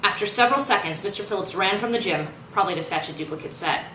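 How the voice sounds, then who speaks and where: A woman reading fiction aloud as its author, in expressive, dramatic narration. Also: medium pitch, quick pace. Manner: dramatic, acts out dialogue. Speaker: author reading their own novel. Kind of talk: expressive audiobook-style narration. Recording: roomy, distant mic, faint noise.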